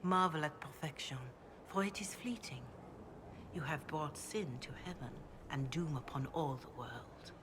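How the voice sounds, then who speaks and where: An actress voicing a devout, grave priestess in a video game, speaking in a measured, reverent way. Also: female voice, deep voice, background noise. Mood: grave reciting. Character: devout, grave chantry priestess, calm and counselling. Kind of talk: video game dialogue, scripture recitation.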